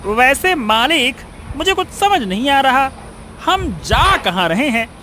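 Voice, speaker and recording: An actor voicing a sleepy, lazy, sardonic male donkey who drawls and grumbles in a comic animated story. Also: high-pitched, takes it slowly, a little hiss or hum, exaggerated voice. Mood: puzzled, wry.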